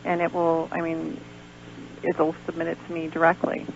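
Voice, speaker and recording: A woman's voice: sits low, completely flat delivery, moderate pace, creaky, faint music behind. A woman phoning in as a guest on a radio interview, talking in an earnest, encouraging way. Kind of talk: live promotional radio interview chat. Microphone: calling in by phone. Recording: lo-fi phone-in on radio, noisy hum.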